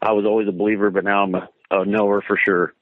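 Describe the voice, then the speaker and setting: Excited guy from kansas calling in, lowish voice, tinny phone sound. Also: quick pace, dry recording. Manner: enthusiastic, says man a lot. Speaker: guest. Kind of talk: phone-in interview.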